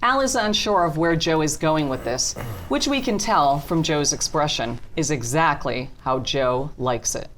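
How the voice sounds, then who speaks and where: A woman's voice: low voice, expressive, measured pace, hardly any pauses, fairly bright tone, slight background noise. A woman narrating the stage directions of a script as scripted narration.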